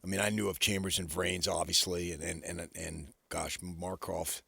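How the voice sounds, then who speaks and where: A middle-aged or older American man with a low, creaky voice co-hosts a sports talk show. He chats casually and rambles a little, doubling back on his words. The studio sound is close and dry. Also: little pitch movement, normal speed, fairly bright tone, dry recording. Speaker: sports radio co-host. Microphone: close studio radio microphone.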